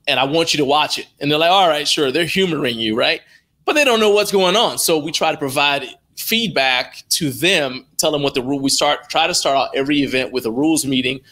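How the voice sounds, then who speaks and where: A man's voice: mid-range voice, pushed and bright. A middle-aged American man telling anecdotes casually as an interview guest on a video call, acting out bits of dialogue as he goes. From born in Liberia, moved to the United States as a child. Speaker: interview guest, strength athlete and live streamer. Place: indoor room, video call.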